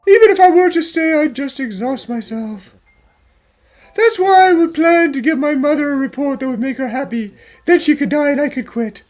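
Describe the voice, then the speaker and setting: A woman's voice: high voice, moderate pace, a little mellow, natural voice. A woman voicing a proud, worn-out male chief inspector in an anime fan dub, formal and melodramatic, with music underneath. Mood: resigned, confessing.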